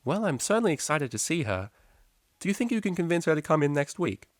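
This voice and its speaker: A man's voice: a little high, big pitch swings, fast, no room sound, clean studio sound, voice pushed up. A man voicing a kindly, politely chatty female pony art teacher in a story reading. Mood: curious, pleased.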